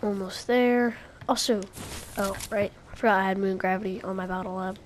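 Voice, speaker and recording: A young woman who is sick, coughing and sniffling, giving casual gameplay commentary with hesitant pauses and many fillers. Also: mid-range voice, fairly even intonation, slight background noise. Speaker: gaming YouTuber.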